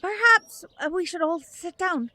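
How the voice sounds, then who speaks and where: A woman's voice: very high voice, measured pace, sharp tone, no room sound, full character voice. Actress as a solemn, protective magical mother. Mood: anxious, soothing. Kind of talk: audio drama acting.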